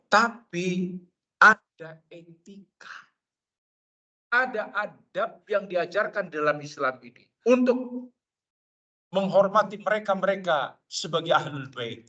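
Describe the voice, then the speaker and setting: A man with an Indonesian accent giving a mosque study lecture, expressive and acting out the people he quotes. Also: high voice, muffled recording. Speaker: preacher.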